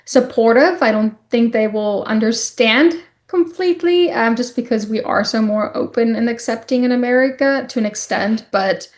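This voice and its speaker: A young woman, a podcast guest talking in an open, casual way and pausing often with ums and likes. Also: a little high. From South Africa. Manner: hesitant, many ums and likes. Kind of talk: casual conversation.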